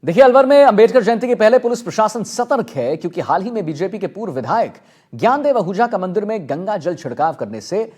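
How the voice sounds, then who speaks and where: A man's voice: high voice, lively intonation, fast talker, darkish tone, breathy edge. A man presenting live television news as an anchor.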